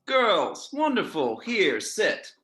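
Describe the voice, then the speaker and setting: A man reading a chatty, fussy mobster in his fifties, creaky and halting, at an amateur script table read. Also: sits high, wildly swooping pitch, pushed and bright, exaggerated voice. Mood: effusive. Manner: halting, repeats himself.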